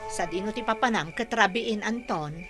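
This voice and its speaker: A woman acting a chatty, wheedling neighborhood housewife in an audio drama, expressive and speaking with a Filipino Ilocano accent. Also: mid-range voice, normal speed, music in the background, lightly acted. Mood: nagging.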